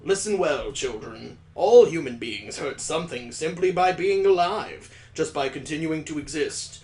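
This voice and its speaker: A man voicing a lofty older woman storyteller in a visual novel, speaking in a measured, lofty way. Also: a little high, animated, darkish tone, reverberant. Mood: solemn, lofty.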